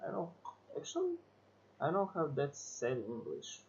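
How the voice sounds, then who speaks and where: A man from Serbia, a streamer chatting casually and thinking aloud with hesitant pauses. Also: on the high side, huge pitch swings, gravelly, lo-fi sound.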